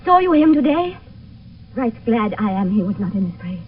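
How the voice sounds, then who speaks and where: A woman's voice: on the high side, very animated, normal speed, narrow, tinny audio. An actress playing an anxious, refined noble mother in a radio Shakespeare play. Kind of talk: 1970s radio Shakespeare acting. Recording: thin, hissy old radio recording, distant.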